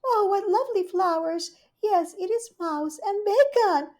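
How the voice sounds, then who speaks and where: A woman voicing a prim, genteel female cat hostess in a storybook reading, high and polite. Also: a little slow, darkish tone.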